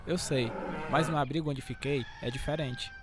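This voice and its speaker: A man's voice: mid-range voice, fairly even intonation, normal speed, noisy background, natural voice. An actor playing a caring, devout young man, an older brother, speaking earnestly in a radio drama. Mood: earnest, calm.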